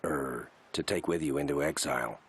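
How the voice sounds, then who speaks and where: Very deep, deadpan cartoon sidekick. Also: male voice, normal speed, slightly rough. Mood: unflappable. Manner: deadpan, formal, wordy. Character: stolid, unflappable cartoon sidekick detective partner. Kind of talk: animated comedy acting.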